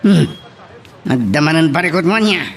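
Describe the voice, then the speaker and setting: A man's voice: a little high, expressive, normal speed. A man with an Ilocano Filipino accent playing a deferential, concerned companion in an audio drama. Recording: faint background noise.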